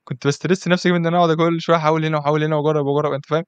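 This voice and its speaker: A man's voice: on the high side, level tone, rapid-fire, clean tone, dry recording. A man with an Egyptian accent hosting a casual podcast interview, chatty and constantly asking "you understand?". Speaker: podcast host.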